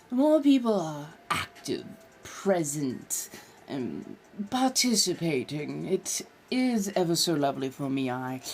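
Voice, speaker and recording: A woman with a lowish, very animated voice hosts a casual livestream. She puts on a posh colonial American accent and speaks in affected, genteel phrases, addressing her listeners fondly as dears. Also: a little hiss or hum. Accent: put-on posh colonial American. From California, United States. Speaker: livestream host chatting with viewers.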